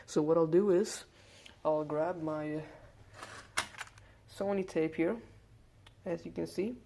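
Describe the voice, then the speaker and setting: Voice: mid-range voice, fairly even intonation, slow pace, creaky, dark tone, a little hiss or hum. A hobbyist presenter giving a casual hands-on demonstration, explaining things informally step by step.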